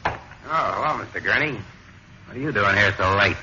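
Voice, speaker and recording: An American actor playing a plain, offhand night security guard in a 1950s radio drama. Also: male voice, on the low side, lively intonation, moderate pace, bright, forceful tone, breathy edge, full character voice. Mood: casual, mildly curious. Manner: folksy, offhand. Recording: muffled, noisy vintage radio recording.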